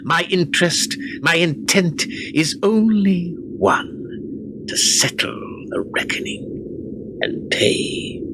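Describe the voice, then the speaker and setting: An actor playing a cold, bitter young medieval lord in a radio play, declaiming in archaic speech with brooding pauses. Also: male voice, high-pitched, background noise.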